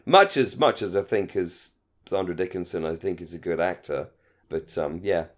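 A man's voice: low-pitched, moderate pace. A man chatting casually and informally as a podcast co-host, giving his opinions and often circling back over his phrases. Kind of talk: casual chat.

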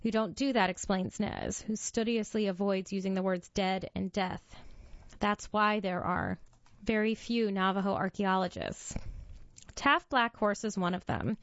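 A woman's voice: mid-range voice, steady tone, a little slow, dry recording. Woman reading a magazine aloud. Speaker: reader for a reading service. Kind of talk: read-aloud magazine narration. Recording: dull, lo-fi dry studio voice.

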